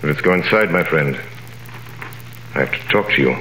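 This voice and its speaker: An actor narrating and playing a grave mysterious stranger in a 1930s radio drama, with a measured, solemn delivery, heard as an echoey vintage broadcast. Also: male voice, a bass voice, expressive, pushed and bright, a little hiss or hum, exaggerated voice. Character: grave mysterious stranger, the returned Dantes in disguise.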